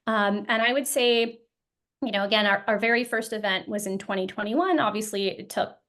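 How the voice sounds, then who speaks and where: Thoughtful woman, halting with many ums. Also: medium pitch, a bit bright. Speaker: guest, founder of an occupational therapy group. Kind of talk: casual interview talk.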